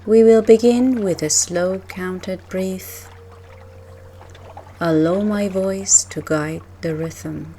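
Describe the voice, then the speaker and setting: A woman guiding a meditation in a calm, measured, gentle manner with long pauses between short phrases. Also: mid-range voice, a little hiss or hum. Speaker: meditation guide. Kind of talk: guided meditation narration.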